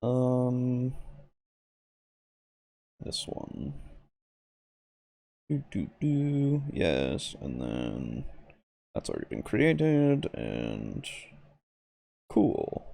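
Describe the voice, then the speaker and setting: A man chats casually during a tabletop game session. His voice has a fry to it and rises and falls freely, while he feels his way haltingly through his thoughts at a leisurely pace. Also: rumbling low, huge pitch swings, mellow. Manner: halting, thinking aloud while checking things. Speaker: player in a tabletop roleplaying game.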